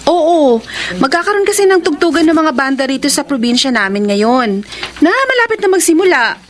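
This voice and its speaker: A female actor playing a spirited, sensible young provincial woman, expressive and bantering, in a Filipino radio drama. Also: sits high, moderate pace. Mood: earnest, cheerful.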